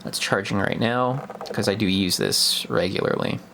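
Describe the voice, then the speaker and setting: A man's voice: fairly low pitch, moderate pace, creaky, bright, forceful tone, slight background noise. A young man giving a casual, unscripted product review in a hesitant, conversational way, as if thinking aloud.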